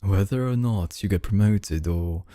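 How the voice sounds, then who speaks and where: A man playing a suave, dominant, flirtatious CEO in an intimate ASMR roleplay, speaking in a teasing, deliberate way. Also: warm tone, dry recording, clean studio sound. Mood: teasing, controlling. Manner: slow, teasing, deliberate, with low chuckles. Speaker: ASMR voice actor.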